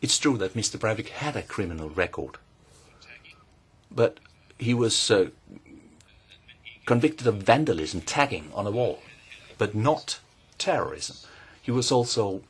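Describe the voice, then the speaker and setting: A man giving measured, formal testimony to a committee, pausing often and hesitating between phrases. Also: fairly low pitch, a little hiss or hum. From Norway. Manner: measured, deliberate, with frequent pauses and hesitations. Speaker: invited speaker at a parliamentary hearing.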